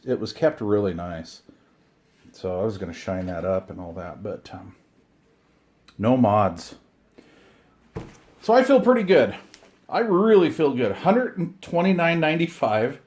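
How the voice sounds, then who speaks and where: A middle-aged or older man chatting casually to viewers while unboxing, excited and rambling, often talking to himself. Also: mid-range voice. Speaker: hobbyist video host. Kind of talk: casual unboxing chat to camera.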